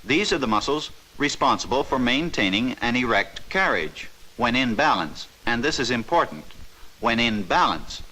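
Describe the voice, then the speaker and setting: Bright-voiced man narrating a vintage training film. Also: mid-range voice, big pitch swings, rough recording. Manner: measured, instructive, emphatic on key words. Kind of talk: scripted documentary narration. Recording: 1950s training film soundtrack.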